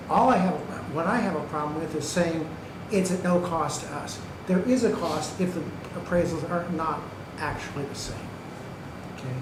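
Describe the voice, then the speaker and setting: A man giving public comment at a county meeting, pressing his points emphatically and checking in with "okay" and "right". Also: high-pitched. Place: county government meeting room. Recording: echoey hall with background noise.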